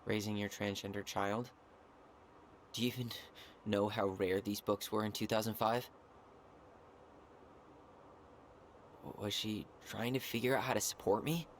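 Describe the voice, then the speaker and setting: A man's voice: lowish voice, steady tone, moderate pace, slight background noise, natural voice. A man playing a wounded, stubborn young man in naturalistic video game dialogue, earnest and blunt. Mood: bitter. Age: young adult.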